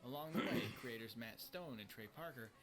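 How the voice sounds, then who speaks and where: A man narrates a countdown list in a scripted, tongue-in-cheek way. His pitch moves up and down a lot, and clear background music plays under him. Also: normal speed. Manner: lively, tongue-in-cheek delivery.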